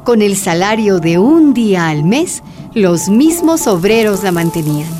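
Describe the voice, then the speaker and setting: A woman's voice: medium pitch, sing-song, measured pace, music in the background. A woman performing as the serious narrator of a historical radio drama, delivering scripted documentary narration. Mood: serious, grave.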